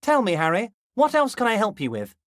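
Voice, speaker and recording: A man playing a smug, wily old union boss in a video game, folksy and insinuating, with mock modesty and drawn-out emphasis. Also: high-pitched, wildly swooping pitch, fairly bright tone, no room sound, clean studio sound, full character voice. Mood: mock-worried, sly. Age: older. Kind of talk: video game character dialogue.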